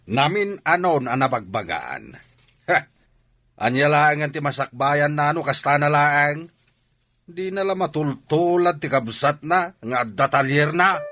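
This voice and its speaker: A man's voice: fairly high pitch, animated, unhurried, bright, forceful tone, full character voice. An actor playing a stern, angry father in an Ilocano radio drama. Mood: angry, exasperated. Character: stern, angry father disapproving of his child.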